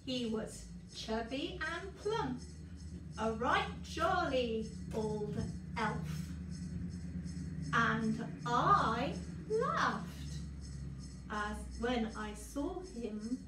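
Very slow, animated woman storyteller. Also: on the high side. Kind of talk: storytelling poem reading. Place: room by a large window. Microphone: camera a few metres away. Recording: echoey room, distant mic, music behind.

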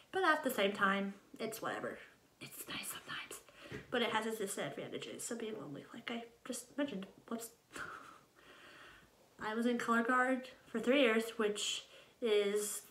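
Young woman, American accent, fairly high bright voice, casual rambling vlog, echoey home room. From Ohio, United States. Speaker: channel host introducing herself. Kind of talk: casual vlog chat to camera. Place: small room at home, indoors.

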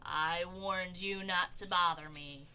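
A woman voicing a big, grumpy, sleepy male bear who speaks in a slow, low growl in a storybook. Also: steady tone, pushed and bright, clean tone, full character voice, poor audio.

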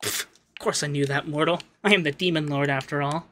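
A man as a haughty, bright-voiced femboy demon lord. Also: on the high side, fairly even intonation, brisk, a little hiss or hum. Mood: flustered. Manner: pompous commands that slip into flustered cooing. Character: haughty, flustered young femboy demon lord, secretly soft. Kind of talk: ASMR roleplay.

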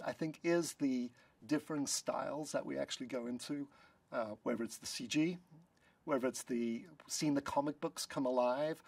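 Middle-aged man, relaxed producer interview, dry room, mic a bit far off. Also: medium pitch, creaky, dry recording. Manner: relaxed, chatty, frequent ums and uhs. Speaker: film producer. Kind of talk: press junket interview. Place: studio in front of a blue backdrop.

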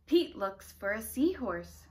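A young woman reading a picture book aloud to small children in an expressive, dramatic way. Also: mid-range voice, slow pace. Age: young adult. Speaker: children's story time reader. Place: living room indoors, by a brick fireplace.

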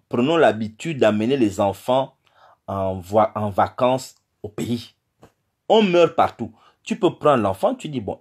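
A man's voice: medium pitch, breathy edge. A man in his forties with a Gabonese French accent, addressing his fellow expatriates in an impassioned, lecturing phone message full of repetitions and rhetorical questions. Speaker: community speaker addressing the diaspora. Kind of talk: impassioned direct-to-camera message. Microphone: phone held close in selfie framing.

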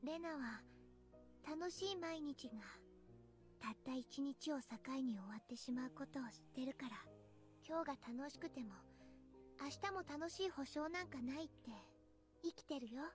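Female actor as gentle teen schoolgirl for visual novel: high-pitched, cute, earnest, music underneath. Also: fast, close to an everyday voice. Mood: gently earnest. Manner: soft, cutesy, refers to herself by name. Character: gentle, sweet teenage schoolgirl, earnest and cheerful. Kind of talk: Japanese anime visual novel acting.

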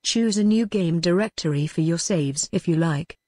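A woman's voice: fairly low pitch, normal speed, a little mellow, dry recording. A woman reading step-by-step instructions in a dry, matter-of-fact way. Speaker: tutorial narrator. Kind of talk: scripted narration.

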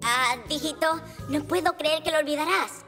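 A woman's voice: high voice, normal speed. A woman voicing a small, eager young helper character in a dubbed cartoon. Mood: scolding. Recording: loud music under the voice.

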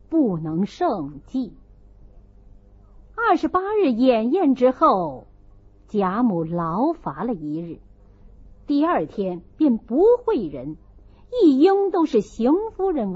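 A woman reading a classical novel as an audiobook narrator, in an expressive storytelling style. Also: on the high side, husky, phone-quality sound.

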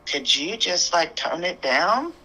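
A woman's voice: lowish voice, very animated, slow pace, sharp tone, slightly rough, rough recording. A woman guest who works as an animal communicator, talking casually in a strong, emphatic voice. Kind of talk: casual conversation.

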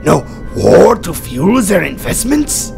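A man's voice: high-pitched, big pitch swings, takes it slowly, gravelly, full character voice. A man voicing a treacherous, coolly professional spy with a condescending, theatrical manner in a fan-dubbed comic. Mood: lecturing, menacing. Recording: music behind the voice.

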